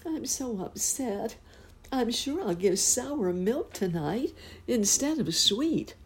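A woman's voice: expressive, slow, a little hiss or hum. A woman doing a fretful, mournful cow. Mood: worried. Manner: high, anxious, sing-song.

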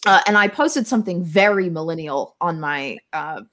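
A young adult woman, a podcast guest, chatting casually and telling stories in an animated, theatrical, self-mocking way. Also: lowish voice, moderate pace. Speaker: podcast guest, tech marketer. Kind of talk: casual conversational storytelling.

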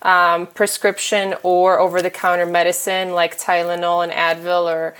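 A woman chatting frankly and casually as a guest, pausing often with fillers and restarts. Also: fairly low pitch, level tone, fairly bright tone. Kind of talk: casual chat.

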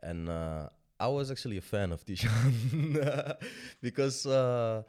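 A young man from Sudan talking as a guest musician in a casual interview, relaxed and rambling with lots of fillers and easy laughs. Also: medium pitch, slow pace.

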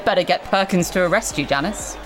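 An actress playing a wealthy female media star who flatters breezily, with music underneath. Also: fairly low pitch, a little fast, close to an everyday voice, rough recording. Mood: flattering. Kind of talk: audio drama acting.

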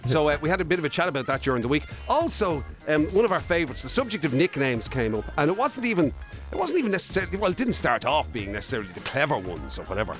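A man's voice: on the high side, big pitch swings, racing, a bit bright, faint music behind. An Irish man co-hosting a radio show, chatting casually with wry banter into a studio mic.

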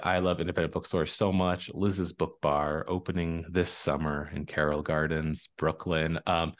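A man hosting a book podcast in a casual, conversational style. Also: low voice. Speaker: podcast host.

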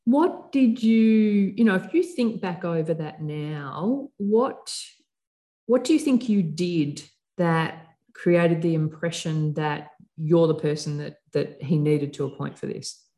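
A woman's voice: deep voice, slow pace, dark tone. A woman interviewing a guest, probing and rephrasing her questions as she goes. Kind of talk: conversational interview.

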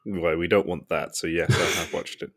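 A man's voice: low-pitched, steady tone, moderate pace, a bit bright. A man co-hosting a podcast, speaking with a dry, teasing wit in casual chat. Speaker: podcast co-host.

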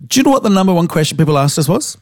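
Slightly hoarse Australian man. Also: high-pitched, very animated, very fast, dry recording, studio quality. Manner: frank, storytelling, casual swearing. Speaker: podcast co-host. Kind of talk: casual podcast chat.

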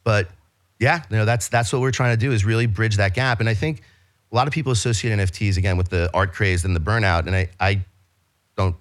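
A man's voice: fairly low pitch, fast. A man with a New York accent, a business guest talking in a casual interview, eagerly explaining his company with many restarts and fillers.

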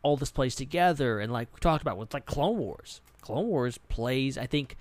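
A man with a medium-pitched voice chats informally as a podcast co-host. He rambles in loose, filler-heavy phrases. The recording is dry and free of room sound. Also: expressive, moderate pace, dry recording. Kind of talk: casual pop-culture discussion.

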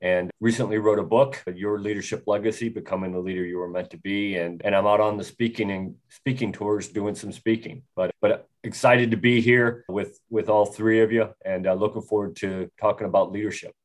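A very deep-voiced American man in his sixties talks like the retired officer he is. He is firm and earnest, driving his points home by repeating them as a podcast guest. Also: fairly even intonation, brisk, few pauses, rough recording. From United States. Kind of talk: podcast interview.

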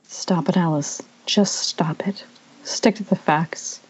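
Casting: skeptical, no-nonsense young woman read by a woman, lowish and unhurried, muffled internet call. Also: husky, in a whisper, exaggerated voice. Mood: impatient. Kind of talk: casual amateur table read of a screenplay.

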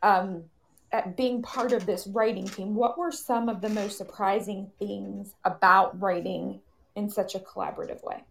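A woman hosting a live panel discussion, giving measured introductions and questions with pauses as she goes. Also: mid-range voice, steady tone, creaky, rough recording. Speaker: panel moderator and writer.

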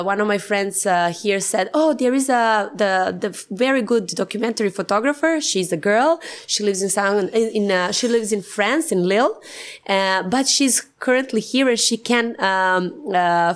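Rambling woman. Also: medium pitch. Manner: rambling with many fillers and restarts. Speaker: film producer. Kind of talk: casual interview.

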